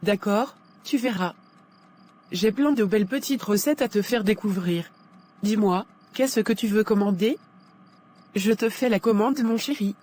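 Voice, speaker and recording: A woman playing a devoted, accommodating housewife in an animated drama, with a gentle, coaxing manner. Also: mid-range voice, unhurried, slight background noise, natural voice. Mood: eager to please. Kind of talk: scripted animated drama dialogue.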